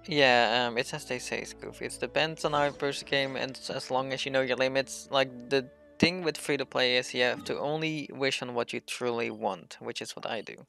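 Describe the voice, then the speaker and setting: A man's voice: mid-range voice, fairly even intonation, normal speed, creaky, hardly any pauses, sharp tone, faint music behind. A young man streaming a game, chatting casually with viewers and commenting on his play. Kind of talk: casual live stream chat and gameplay commentary.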